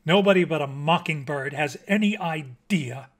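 Storybook mockingbird, played by a male reader: vain, touchy, theatrical, pitched slightly high. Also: lively intonation, a little slow, a bit bright. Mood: exasperated. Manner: dramatic, pompous, swooping emphasis.

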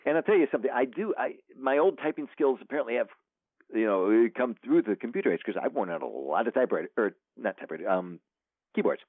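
A man's voice: medium pitch, very animated, quick pace, no room sound. A man who is an author, chatting casually in an interview, rambling with many fillers and restarts. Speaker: author guest. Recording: dry, tinny phone line.

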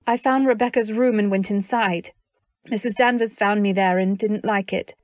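A woman's voice: mid-range voice, steady tone, normal speed, a little mellow, no echo, lightly acted. A woman playing a fiercely loyal, protective wife in a radio drama, speaking earnestly and with dramatic emphasis. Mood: urgent. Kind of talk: old-time radio drama acting with first-person narration.

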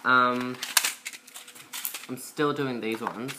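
A young woman with a low, masculine-sounding voice and a slight cold, chatting casually to camera in a rambling, self-conscious way. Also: steady tone, very slow, background noise. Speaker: vlogger. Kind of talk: casual chat to camera, transition update. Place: indoor room by a window. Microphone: built-in device mic at arm's length.

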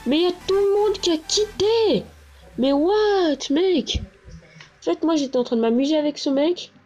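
A woman's voice: very high voice, normal speed, dark tone. A woman with a cold giving casual, rambling gameplay commentary from an echoing bedroom, full of fillers and restarts. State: stuffy-nosed with a cold. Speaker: gaming channel host. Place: small echoing bedroom, lying down. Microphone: handheld console camera. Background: game music.

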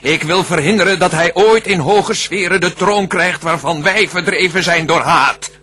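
An actor playing a boastful, vengeful demon tempter in a radio play, with drawn-out, theatrical verse delivery. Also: male voice, high-pitched, pushed and bright, slightly rough, exaggerated voice. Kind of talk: radio drama verse acting.

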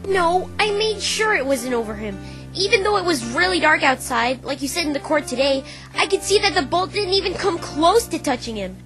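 A woman's voice: very high-pitched, expressive, fairly quick, a bit bright. A woman voicing a brash, defensive young female pegasus pony in a fan dub, with a casual, cocky delivery. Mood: boastful. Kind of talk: fan dub voice acting of a visual novel. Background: music.

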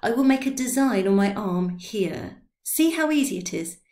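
A woman voicing an excitable, drunken old man who talks eagerly and loudly in a read-aloud story. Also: normal speed, lightly acted. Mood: excited. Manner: eager, pleading, high and loud.

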